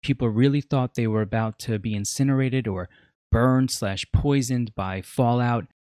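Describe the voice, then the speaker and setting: A man's voice: medium pitch, moderate pace, darkish tone, no echo, studio quality. A man in his forties narrating a scripted video review as its host, explaining in an earnest, emphatic way. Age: 40s.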